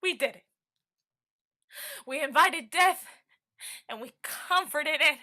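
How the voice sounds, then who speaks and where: A bitter, defiant woman prisoner facing the end of the world on stage. Her voice is very high and bright, with a slow, deliberate delivery. She is profane and impassioned, moving between low muttering and shouts. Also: lively intonation, full character voice. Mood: raging despair. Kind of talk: theatrical staged-reading monologue.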